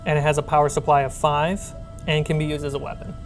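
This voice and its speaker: A woman speaking as game master, narrating a tabletop role-playing game in a halting, thinking-aloud way. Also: low voice, little pitch movement. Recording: background music under the voice.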